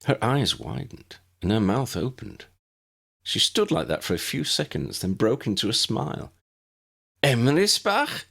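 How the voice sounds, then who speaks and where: A man with a gravelly, husky voice reading scripted story narration. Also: fairly low pitch, sing-song, moderate pace, fairly bright tone. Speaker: narrator, audiobook reader.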